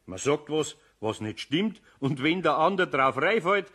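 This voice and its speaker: Radio play craftsman: older, kindly grumbler, husky, broad Bavarian accent, patient father-figure tone. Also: on the high side, big pitch swings, unhurried, bright, forceful tone, heavily acted. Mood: rueful. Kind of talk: German radio play acting.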